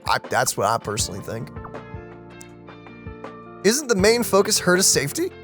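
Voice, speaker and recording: Casting: animated male gamer host, high register, casual playful commentary, faint music behind. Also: moderate pace. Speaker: let's play host. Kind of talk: casual gaming commentary, reading dialogue aloud.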